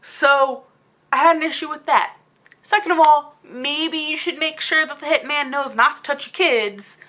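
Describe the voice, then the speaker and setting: Bright, high-voiced exasperated woman vlogger. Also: normal speed. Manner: exasperated, emphatic, incredulous. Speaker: book review vlogger. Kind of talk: casual rambling video review.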